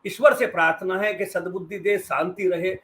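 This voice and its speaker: A man's voice: high voice, fairly even intonation, fairly quick, a bit bright. A middle-aged man gives sarcastic, emphatic political commentary as a journalist on a debate panel. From western Uttar Pradesh, India.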